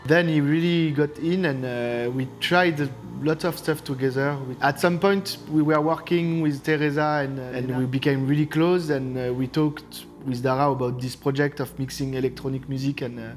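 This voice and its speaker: A man's voice: mid-range voice, steady tone, normal speed, few pauses, soft background music. A man from France speaking English. He plays synths in a band and tells its story hesitantly in a documentary interview, with frequent fillers.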